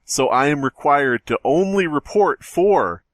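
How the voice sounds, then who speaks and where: A man's voice: on the high side, lively intonation, unhurried, no echo. A man hosting a podcast, telling a story in casual, profane chat. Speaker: podcast host.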